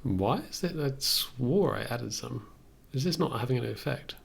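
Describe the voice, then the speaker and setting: A man's voice: mid-range voice, lively intonation, normal speed, gravelly, slightly rough, soft background music. A man in his thirties chatting casually and thinking aloud as he works on a livestream, often questioning himself. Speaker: livestream host. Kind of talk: casual chat while working on music software. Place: small indoor room at a computer.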